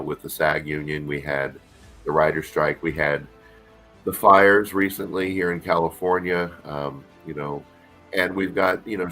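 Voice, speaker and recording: A middle-aged man, an actor guest with a deep voice, talking casually in an interview with lots of fillers and restarts. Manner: relaxed, rambling, many fillers and restarts.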